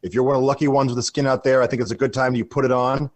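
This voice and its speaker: A man's voice: mid-range voice, level tone, no echo, lightly acted. A flustered, alarmist news anchor, acted by a man in a comic radio bulletin. He speaks in a medium register with grave drama, tripping over his words and repeating them. The sound is close and dry. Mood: urgent, flustered. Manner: dramatic, halting, repeats and stumbles over himself. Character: frantic, solemn male radio news anchor, absurdly alarmist.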